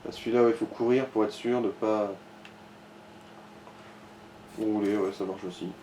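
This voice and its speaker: A man's voice: fairly low pitch, fairly even intonation, measured pace, dark tone, a little hiss or hum, rough recording. A man chatting informally as he advises someone playing a game, in a hesitant way that often trails off. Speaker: companion advising the player. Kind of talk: casual chat, backseat gaming advice.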